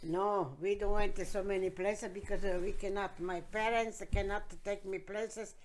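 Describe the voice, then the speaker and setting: A woman, being interviewed by her grandkids and telling her story in plain, halting, broken English. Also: mid-range voice, fairly even intonation. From Croatia. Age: 70s. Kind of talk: casual interview.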